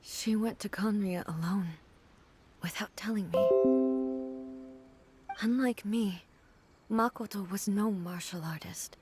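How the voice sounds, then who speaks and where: A woman playing a grave, ageless female shogun in a video game, speaking softly in formal, measured phrases. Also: mid-range voice, close to an everyday voice. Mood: solemn, grieving. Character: grave, regal female shogun, ageless immortal warrior mourning lost friends. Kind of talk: video game voice acting, dramatic dialogue. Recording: music playing behind the voice.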